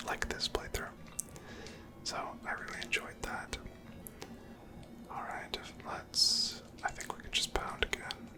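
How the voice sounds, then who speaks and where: This is a breathy, fully whispered voice with almost no tone in it. The speaker narrates their own video game playthrough in ASMR style, gently musing aloud in an unhurried, conversational way. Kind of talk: whispered ASMR gameplay commentary.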